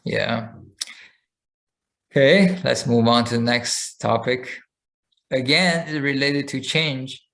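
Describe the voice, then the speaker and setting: A man's voice: medium pitch, slow, creaky, pauses often. Man casually telling a story on a podcast. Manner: relaxed storytelling with fillers and restarts. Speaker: podcast co-host. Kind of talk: casual chat.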